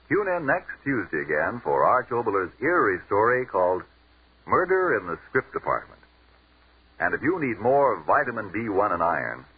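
A man's voice: lowish voice, husky, narrow, tinny audio. A man announcing and reading ads on a 1940s radio broadcast in an emphatic sales cadence.

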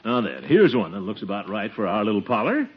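Blustering uncle, pompous yet soft-hearted, wildly varied pitch, chuckling, old radio comedy, hissy sound. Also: male voice, sing-song, a little fast. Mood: jovial, blustering. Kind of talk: 1940s radio comedy acting. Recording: muffled, noisy 1940s radio recording.

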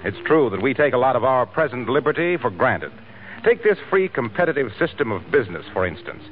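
A man announcing a 1940s radio show and delivering its sponsor pitch in scripted period style. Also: very animated, a little fast, a little hiss or hum. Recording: old narrow-band radio broadcast.

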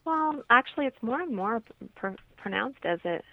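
A woman's voice: fairly high pitch, expressive, moderate pace, no room sound. A woman from Canada speaking as an interview guest, explaining things as a holistic health practitioner on slightly dull radio audio.

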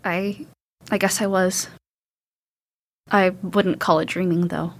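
An actress playing a tough, loving young daughter surviving an apocalypse in an audio drama, speaking frankly and reassuringly. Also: female voice, fairly low pitch, fairly even intonation, slow pace, husky, full character voice. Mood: guarded.

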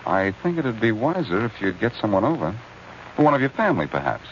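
Radio detective, low lively voice, smooth, courteous, take-charge, muffled old broadcast. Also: male voice, a little fast. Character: calm, courteous, quick-witted amateur male detective. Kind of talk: 1940s radio acting. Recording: muffled, noisy old-time radio recording.

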